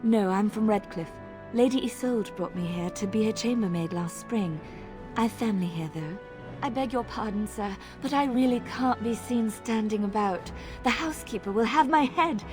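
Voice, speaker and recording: An actress playing a busy young chambermaid who talks in a polite, deferential, slightly hurried way. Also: female voice, a little high, natural voice. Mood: flustered politeness. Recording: music clearly behind.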